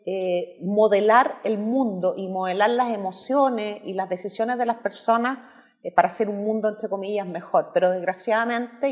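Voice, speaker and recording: A woman in her fifties from Chile with a Chilean accent, explaining her views as a guest in a measured way. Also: mid-range voice. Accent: Chilean Spanish. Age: 50s. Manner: measured, explanatory, tagging points with "¿verdad?". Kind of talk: political discussion.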